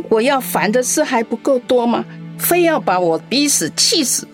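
A woman's voice: on the high side, big pitch swings, a little slow, over music. A woman voicing an ailing elderly mother in a radio drama, sighing and coughing between her words. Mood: distressed. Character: ailing elderly mother, sharp-tongued yet tender.

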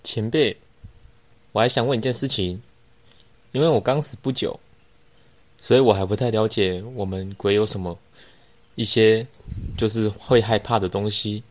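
A man's voice: on the low side, normal speed, pauses often, natural voice. A teenage boy playing a sly young man who feigns innocence, in an amateur radio drama. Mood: innocent.

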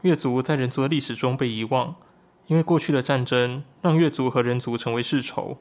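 Guy voicing an earnest young shapeshifter guy in a radio drama, eager and expressive, medium pitch. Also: fairly quick, close to an everyday voice. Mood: earnest, explaining. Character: earnest, excitable young shapeshifting moon-tribe man. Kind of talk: Chinese audio drama acting.

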